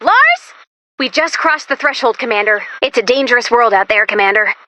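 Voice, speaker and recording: A quirky female robot sidekick from a video game, pitched high and very bright. She chats in a perky, theatrical, comic way as a mission guide, swinging widely in pitch from line to line. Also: animated, moderate pace, dry recording. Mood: upbeat, eager. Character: upbeat, quirky female robot assistant guiding the commander.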